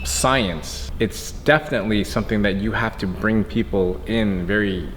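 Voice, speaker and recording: Low-voiced man in a reverberant space. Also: normal speed, fairly bright tone. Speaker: beginner student pilot. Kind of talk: casual reflective chat. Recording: long reverberant hall, faint background noise.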